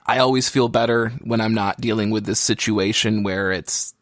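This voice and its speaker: A man's voice: lowish voice, steady tone, normal speed, a bit bright, no echo, clean studio sound. A man co-hosting a podcast in casual, joking chat with a wry streak of exaggeration. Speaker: podcast co-host.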